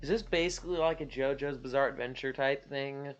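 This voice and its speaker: A man's voice: mid-range voice, brisk, highs cut off. A man giving casual, joking, rambling commentary on a video game, sometimes slipping into mock drama. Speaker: gameplay commentator. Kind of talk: casual, jokey reaction commentary over cutscenes. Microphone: speaking lying down, sometimes off the mic.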